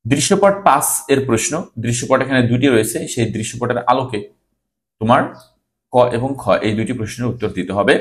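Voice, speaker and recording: A man's voice: mid-range voice, a little fast. A man with a Bangladeshi accent teaching an exam-preparation lesson, reading questions aloud and instructing students, repeating key phrases for emphasis.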